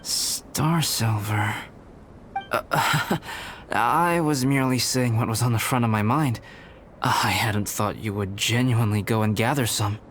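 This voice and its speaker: A man playing a calm, aloof young scholar in a video game, speaking in a measured, formal, detached way. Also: medium pitch, a little hiss or hum, natural voice.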